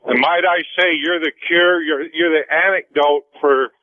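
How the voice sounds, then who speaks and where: A man's voice: a little high, fairly even intonation, normal speed. An American man calling a radio show, telling a story in casual, folksy, colloquial speech. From Utah, United States. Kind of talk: casual storytelling on a phone call. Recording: tinny phone line over radio.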